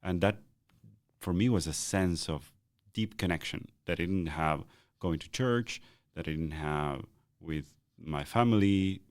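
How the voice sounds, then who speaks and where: Man, very deep booming bass, reflective, halting restarts, dry close sound. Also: dry recording. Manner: thoughtful, halting with repeated starts. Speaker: podcast co-host. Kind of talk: reflective conversational chat.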